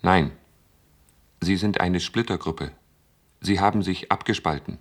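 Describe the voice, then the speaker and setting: A man in a German radio play voicing a sentient computer, childlike, stubborn and principled, speaking in terse, measured statements. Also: sits low. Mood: matter-of-fact.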